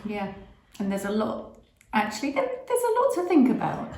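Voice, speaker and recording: A woman's voice: mid-range voice, huge pitch swings, moderate pace, darkish tone. Chatty middle-aged British-born woman, echoey room. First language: English. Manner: chatty, rambling with restarts. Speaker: vlog co-host. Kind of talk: casual chat.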